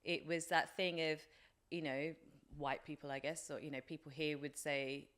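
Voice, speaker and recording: A woman's voice: low voice, steady tone, normal speed, a bit bright. A British woman in her forties talking reflectively about herself as an interview guest, in an informal, hesitant way with plenty of filler phrases. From England, from age three. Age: 40s. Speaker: podcast guest, life coach.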